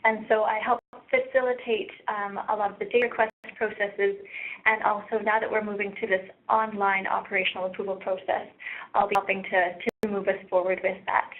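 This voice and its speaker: A soft-voiced woman with a Canadian accent giving a webinar presentation over a thin conference line. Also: mid-range voice, flat delivery, fairly quick, narrow, tinny audio. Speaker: presenter, research practice lead.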